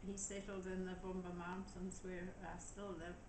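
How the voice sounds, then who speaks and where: An older woman from Zimbabwe, a guest telling her story in a gentle, matter-of-fact way. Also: on the low side, flat delivery, moderate pace. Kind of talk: storytelling interview answers. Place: daughter's home. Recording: echoey room with noise.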